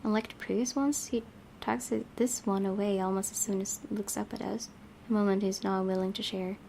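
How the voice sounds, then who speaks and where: A woman's voice: medium pitch, fairly even intonation, fairly quick, gravelly, warm tone, a little hiss or hum. A woman hosting a let's play, reading a visual novel aloud and adding casual commentary. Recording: game music behind the voice.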